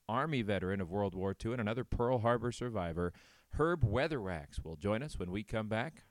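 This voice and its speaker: A man's voice: sits low, a little mellow, no room sound. A man hosting a radio show, interviewing guests and presenting links between segments.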